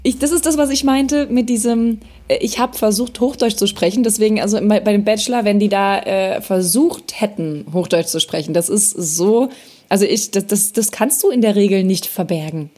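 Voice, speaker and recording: A woman's voice: mid-range voice, normal speed, dark tone. A woman from Baden with a Baden German accent, co-hosting a casual podcast and talking in loose, rambling sentences. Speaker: podcast co-host.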